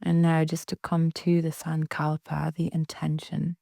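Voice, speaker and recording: A woman leading a guided relaxation meditation in a soft, breathy, soothing way with long pauses. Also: sits low, completely flat delivery, dry recording, studio quality. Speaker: yoga nidra meditation guide.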